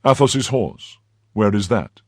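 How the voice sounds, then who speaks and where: Male narrator doing a brash young swordsman, brisk, commanding, animated. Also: lowish voice, dry recording, clean studio sound, voice pushed up, heavily acted. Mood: bold. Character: brash young musketeer, bold and forceful.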